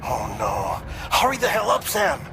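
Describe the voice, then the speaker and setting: A man's voice: mid-range voice, huge pitch swings, measured pace, gravelly, music in the background, heavily acted. An actor playing a nervous, lonely, eccentric middle-aged man in a video game cutscene, fretful and hesitant, with a theatrical, confiding way of talking. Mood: panicked, fretful.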